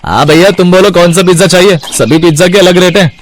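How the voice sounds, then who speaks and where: A man puts on a street pizza vendor in a storybook tale. The voice is raised high and brisk, as if serving customers. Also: slight background noise, lightly acted. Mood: businesslike.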